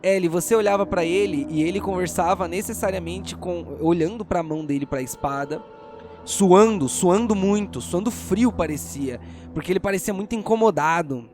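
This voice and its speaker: A man's voice: high voice, normal speed. A man with a Brazilian accent narrating a tabletop role-playing game as game master, telling the story dramatically and checking in with players. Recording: music behind the voice.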